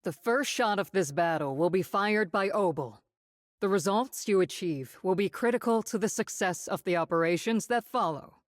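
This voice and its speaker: A woman playing a stern, composed colonel in a video game, speaking formally and with measured authority. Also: medium pitch, no room sound, clean studio sound, close to an everyday voice. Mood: calm, formal. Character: stern, composed female colonel commanding soldiers. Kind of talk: video game voice acting, military briefing.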